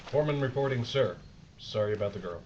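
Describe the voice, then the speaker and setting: An actor playing a dutiful, apologetic army sergeant reporting in a subdued way over a field radio in a war drama. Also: male voice, mid-range voice, lively intonation, fast, a little mellow. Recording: muffled, very noisy radio transmission.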